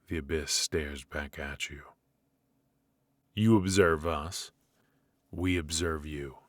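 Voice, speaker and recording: Guy acting as a suave scary mob boss, asmr noir roleplay, super slow n husky, polite but threatening, man's voice. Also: very deep voice, no echo, full character voice. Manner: measured, mock-courteous, quietly threatening. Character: menacing, suave mafia boss, calm and controlling. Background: faint music.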